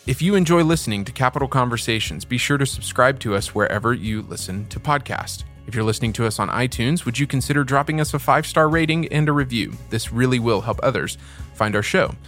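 A man's voice: mid-range voice, animated, quick pace, fairly bright tone, soft background music. A man hosting a podcast, giving introductions and interviewing a guest in a conversational, presenter-like style. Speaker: podcast host.

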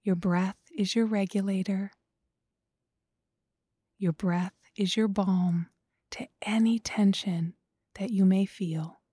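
Female meditation guide, very slow, hushed whispering delivery, warm and dark tone. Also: medium pitch, fairly even intonation, husky, no echo, clean studio sound. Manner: slow, soft, often whispered.

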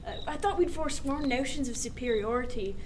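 Actress as high-voiced wry pirate sailor. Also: female voice, a little fast, a little mellow, a little hiss or hum, natural voice. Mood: teasing. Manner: wry, teasing, articulate. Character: dry-witted, irreverent sailor on a 1717 pirate ship. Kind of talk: period radio drama acting.

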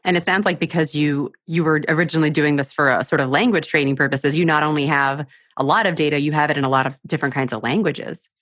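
A woman's voice: sits low, fast, hardly any pauses, fairly bright tone, no echo, studio quality. A woman hosting a show and interviewing a guest, who thinks aloud and sums up his points before each question. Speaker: podcast host.

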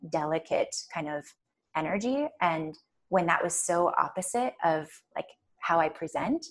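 A soft-spoken woman, a podcast guest chatting casually and rambling with many fillers. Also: on the low side, animated, a little slow. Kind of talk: casual chat.

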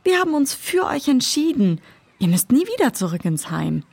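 A woman playing a firm but loving foster mother in a children's audio drama, husky and reassuring. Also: sits high, moderate pace, no echo, full character voice. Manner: calm, reassuring, instructive.

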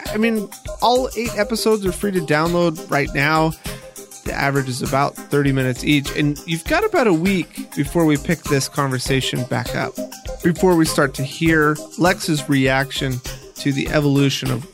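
A man's voice: a little high, animated, moderate pace, music in the background. A man hosting a podcast, interviewing and narrating in a documentary style. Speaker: podcast host.

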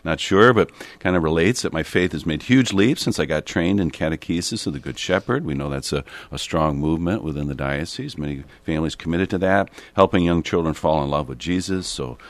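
A man with an American accent and a gravelly voice, talking reflectively and haltingly as a bishop hosting a radio show. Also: a bass voice, dry recording. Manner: halting, meandering with frequent hesitations. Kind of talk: reflective, conversational radio talk.

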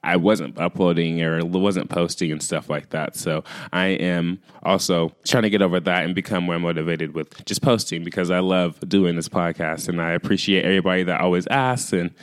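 A man hosting a casual solo podcast, chatty and full of fillers, his voice a little raspy as he recovers from losing it. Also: sits low, fairly even intonation, moderate pace, hardly any pauses, dry recording, studio quality. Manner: chatty, filler-heavy, addresses listeners as "you guys". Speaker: podcast host.

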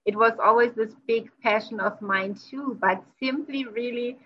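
A woman's voice: fairly high pitch, normal speed, muffled recording, slight background noise. A woman whose first language is German, hosting a conversational interview, enthusiastic and effusive with many "you know"s. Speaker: podcast host.

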